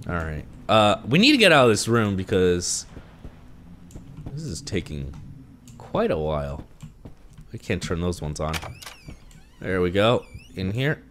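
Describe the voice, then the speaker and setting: A man's voice: low-pitched, big pitch swings, measured pace, long pauses, fairly bright tone, slight background noise. A young man with a congested voice, nervously talking himself through a horror game into a headset mic in a small room at home. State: congested from allergies. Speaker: gaming video creator.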